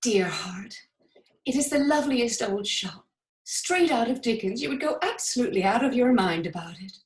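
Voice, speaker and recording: A woman's voice: a little high, lively intonation, moderate pace, voice pushed up, lightly acted. A woman playing a gushing 1950s actress in a stage monologue, savouring an effusive, emphatic letter to her dearest friend. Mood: enchanted, savouring. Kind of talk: theatrical monologue of a letter read aloud. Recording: roomy, echoing sound.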